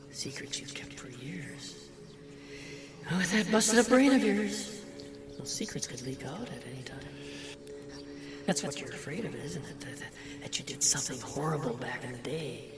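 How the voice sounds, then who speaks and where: An actor playing a mocking, sneering voice inside an old man's head in an audio horror drama, needling and slipping into whispers. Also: mid-range voice. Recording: roomy echo, distant, music behind.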